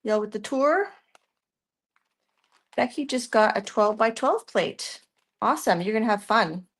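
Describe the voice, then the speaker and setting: A woman's voice: on the high side, lively intonation, unhurried. A woman with a Canadian accent hosting a live art demonstration. She talks through her work as she goes, answers viewers' questions, and often trails off mid-sentence. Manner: chatty, thinks aloud while working, trails off mid-sentence. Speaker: art tutorial host.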